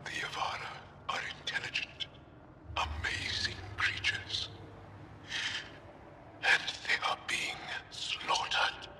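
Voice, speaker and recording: A man's voice: slow pace, long pauses, sharp tone. An actor playing a passionate, protective male alien warrior who speaks earnestly and grows heated, in noisy game audio. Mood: indignant.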